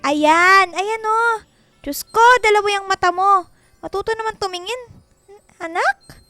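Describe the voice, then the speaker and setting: A woman's voice: extremely high pitch, a little slow, bright, forceful tone, no echo, soft background music, heavily acted. A woman playing a nagging, exasperated mother of a teenage girl in a Filipino radio drama, scolding and exclaiming. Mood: teasing, impatient.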